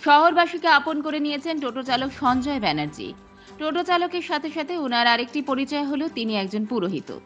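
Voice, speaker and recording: A woman's voice: sits high, fairly bright tone. A woman with an Indian Bengali accent reading a scripted human-interest news report as a voice-over. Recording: muffled lo-fi voice-over over music. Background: background music.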